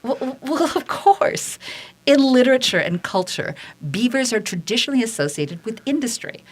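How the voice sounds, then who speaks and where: A woman's voice: a little high, very animated, moderate pace. A woman playing an earnest, defensive 1930s theater director testifying in a radio theater reading.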